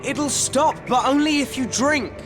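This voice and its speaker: A man's voice: very high voice, a little slow, over music. An actor playing an earnest, dutiful teenage boy wizard in a video game, plain-spoken. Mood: urgent, insistent. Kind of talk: dramatic video game acting.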